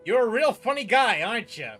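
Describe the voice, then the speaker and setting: A man's voice: extremely high pitch, sing-song, brisk, fairly bright tone, soft background music. A man streaming a game with casual, playful, rambling commentary, often repeating his phrases. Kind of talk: casual live playthrough commentary.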